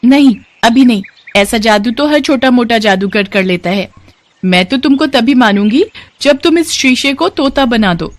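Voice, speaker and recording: A woman's voice: on the high side, expressive, normal speed, dry recording, voice pushed up, close to an everyday voice. A woman voicing a plucky, innocent little girl with a bold, curious, childlike manner in a children's story. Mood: cheeky, defiant.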